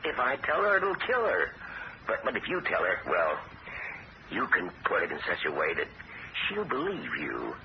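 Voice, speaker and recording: A middle-aged actor playing a sly, self-pitying uncle who talks smoothly and wheedles, his voice jumping up in pitch, in a 1970s radio drama. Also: huge pitch swings, normal speed, exaggerated voice. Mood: scheming. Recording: muffled old radio broadcast with hiss.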